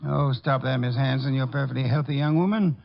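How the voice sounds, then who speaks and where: An actor playing an imperious, pompous, gravelly private detective in 1940s radio drama style, formal and unsentimental. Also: male voice, medium pitch, quick pace, natural voice. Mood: stern, accusing. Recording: dull vintage radio recording.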